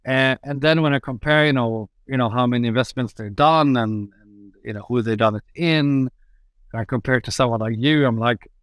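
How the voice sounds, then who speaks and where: A man's voice: mid-range voice, normal speed, a bit bright, clear, resonant tone, no room sound, studio quality. A man hosting a podcast, enthusiastic and rambling, with lots of fillers. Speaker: podcast host. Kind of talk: casual podcast interview.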